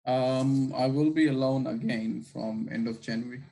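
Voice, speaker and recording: Relaxed man rambling on a call. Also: medium pitch, moderate pace, creaky, poor audio. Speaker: friend on the call. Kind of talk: casual chat on a video call.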